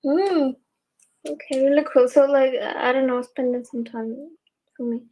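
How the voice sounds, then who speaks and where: Hesitant woman with a mellow voice. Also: high voice, steady tone, unhurried, rough recording. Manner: hesitant and listless, leaning on fillers. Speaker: online chat partner. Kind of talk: casual chat.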